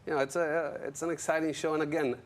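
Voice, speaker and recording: A man's voice: a little high, level tone, fast. A man talking casually as an interview guest, easygoing and telling stories in a conversational way. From Colombian background. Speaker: guest, music executive and show creator. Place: TV studio.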